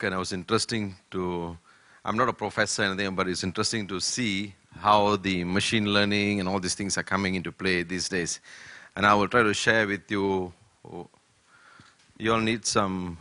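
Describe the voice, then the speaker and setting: An older man from Malaysia giving a conference keynote talk, speaking slowly and deliberately with many fillers. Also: on the low side, sharp tone, dry recording. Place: conference hall.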